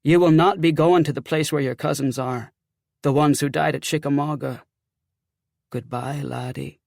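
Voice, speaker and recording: A man plays a dead young officer's ghost in a period audiobook. He taunts with a sardonic Celtic lilt, casual and gravelly, very close and dry. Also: fairly high pitch, steady tone, normal speed, a little mellow, no echo. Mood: cold, taunting. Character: mocking ghost of a slain young Union officer. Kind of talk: audiobook character acting.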